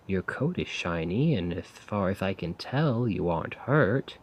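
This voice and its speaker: A man playing a weary, self-doubting failed poet in a soft-spoken, rambling ASMR roleplay monologue. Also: deep voice, expressive, slightly rough, full character voice. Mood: irritated, weary. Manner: slow, brooding, rambling. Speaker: roleplay audio performer.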